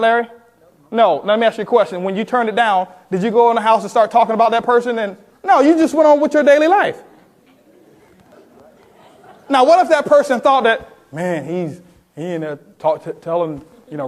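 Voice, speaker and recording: A man speaking as a sales trainer at a motivational seminar, emphatic and often putting questions straight to his listeners. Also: high voice. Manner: emphatic, addresses the audience with questions. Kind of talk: motivational sales seminar talk with role-played phone calls.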